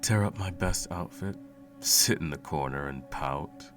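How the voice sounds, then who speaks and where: A sadistic sorcerer, played by a man, murmurs in a very low, creaky voice. He draws his words out slowly, doting on his captive pet with theatrical, condescending sweetness. It is an ASMR roleplay with clear music underneath. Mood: cruel amusement. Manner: teasing, cooing, condescending, theatrical.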